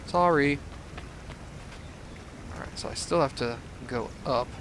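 Young guy doing a let's play, kinda hoarse from being sick, rambly and joking at himself, bit of hiss behind. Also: medium pitch, slow pace, fairly bright tone. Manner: rambling, self-deprecating asides. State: hoarse from recovering cold. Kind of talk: casual gameplay commentary.

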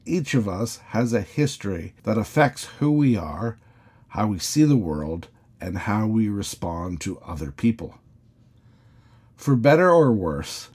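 Husky-voiced male priest preaching. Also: fairly low pitch, a little mellow. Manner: measured, questioning preaching with rising openings and falling endings. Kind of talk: sermon.

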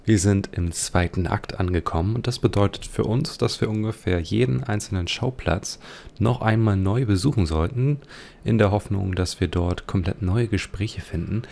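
A man's voice: on the low side, moderate pace, a little mellow. A man commenting casually on a video game, musing aloud as he describes what he sees. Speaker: let's play host. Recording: clearly noisy home recording.

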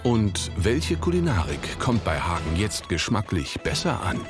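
A male narrator delivers scripted television voice-over with a wry touch. His voice is fairly low and moves expressively in pitch. Guitar music plays loudly underneath. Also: big pitch swings, a little slow. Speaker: TV show narrator. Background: loud music with guitar.

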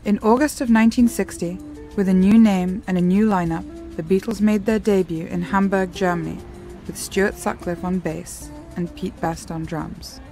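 Woman narrator, documentary read, mid pitch, faint music under. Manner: measured, even storytelling.

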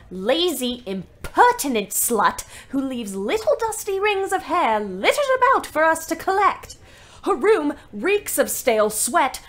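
A woman's voice: high-pitched, fairly bright tone, heavily acted. An actress playing a wry, resentful young British woman ambulance driver, narrating her diary in an emphatic way. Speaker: actor narrating an audio play.

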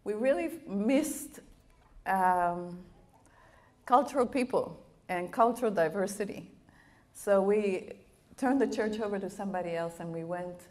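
A woman's voice: mid-range voice, unhurried. Woman missionary preaching with a slight accent. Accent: foreign accent of Italian and Latin American upbringing. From Bolivia. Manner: animated, laughing, with playful quoted voices. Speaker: guest missionary speaker. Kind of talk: sermon with personal storytelling. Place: church auditorium with a stage. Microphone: handheld microphone at a pulpit, wide camera.

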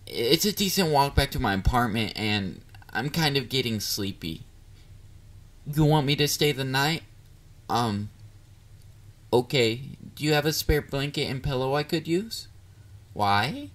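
A man's voice: mid-range voice, big pitch swings, a little slow, long pauses, fairly bright tone. A man playing a bubbly, bashful catboy boyfriend in an intimate roleplay audio drama, dorky and easily flustered. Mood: shy, hesitant. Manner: playful, dorky, flustered, hesitant. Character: bubbly, bashful young male catboy partner.

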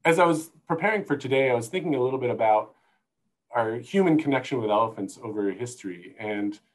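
A middle-aged American man gives an informal presentation as a guest expert. His voice has an average pitch. He speaks into an earphone headset on a video call from indoors. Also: animated, fairly quick, low-quality recording. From United States. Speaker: zoo conservation manager, guest speaker. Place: room indoors.

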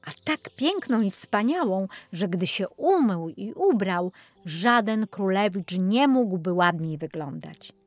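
A woman narrating a children's fairy tale as an audiobook storyteller, reading from a script. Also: medium pitch.

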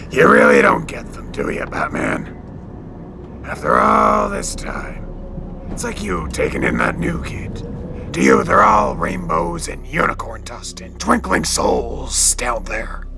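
An actor playing a scarred, taunting crime boss with a split personality in an audio drama, theatrical and gravelly, breaking into harsh whispers. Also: male voice, on the high side, huge pitch swings, background noise, exaggerated voice. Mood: mocking. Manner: theatrical, swinging, drawn-out menace.

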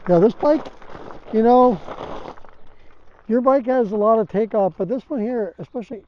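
A middle-aged man giving relaxed, rambling commentary while riding an e-bike on rural back roads, recorded by an action camera on the bike. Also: very high voice, normal speed. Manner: relaxed, rambling, thinks aloud with fillers. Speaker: e-bike vlogger. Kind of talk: casual ride commentary. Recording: muffled outdoor camera audio with faint wind noise.

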